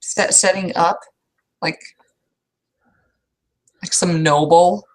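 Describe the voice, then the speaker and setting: A woman's voice: on the low side, steady tone, slow. Woman playing a blunt, indignant troll woman. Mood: indignant, incredulous. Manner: blunt, exclamatory, swearing.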